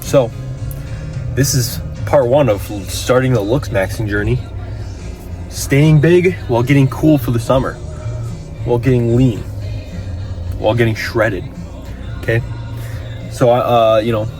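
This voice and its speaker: Congested young gym bro vlogging, hyped and swearing, tacks on okay? constantly, faint music behind. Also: male voice, mid-range voice, unhurried, pauses often, a little mellow, rough recording. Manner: hyped, profane bro talk, keeps adding "okay?". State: congested from a cold. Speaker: fitness vlogger. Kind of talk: casual gym vlog talk.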